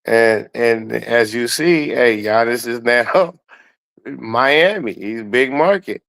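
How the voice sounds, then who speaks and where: A man speaks in a clear, resonant voice at a middle pitch. He gives casual sports commentary as a guest, full of slang and profanity, circling back on words as he builds his point. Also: slow pace, a bit bright. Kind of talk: casual sports talk with opinions and heavy slang.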